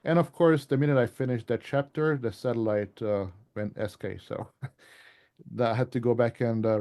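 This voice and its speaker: A man's voice: mid-range voice, warm tone. A man giving an informal presentation to a club as an author, talking in a conversational, rambling way with asides.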